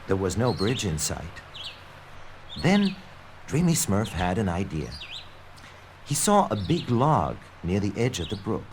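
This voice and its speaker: A man narrating a scripted children's read-along story in an expressive, dramatic storytelling manner. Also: lowish voice, slight background noise. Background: background music.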